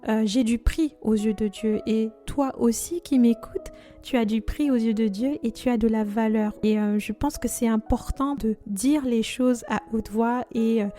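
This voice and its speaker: A woman's voice: fairly high pitch, a little slow, dark tone, soft background music. A woman in her twenties, originally from Congo, hosting a faith podcast in an earnest, devout and chatty manner with frequent fillers. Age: 20s. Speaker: podcast host.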